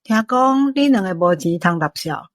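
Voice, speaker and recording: A woman's voice: medium pitch, lively intonation, normal speed, no room sound, studio quality, lightly acted. A woman playing a shrewd, fair-minded restaurant boss in a Taiwanese-accented radio drama, businesslike and authoritative. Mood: stern. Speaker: voice actor in an audio drama.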